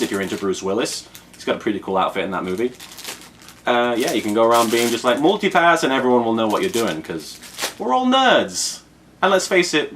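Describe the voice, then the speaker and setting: A man's voice: mid-range voice, fairly bright tone. A man hosting an unboxing in a casual, chatty style, enthusiastic and rambling with jokey asides. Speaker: unboxing show host. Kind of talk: casual chat, product unboxing commentary.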